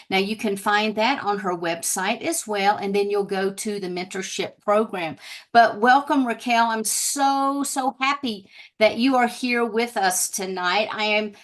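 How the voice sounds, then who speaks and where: A woman hosts an online talk in a mid-pitched voice. She introduces her guest warmly and effusively, sprinkling in chatty fillers as she goes. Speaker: host.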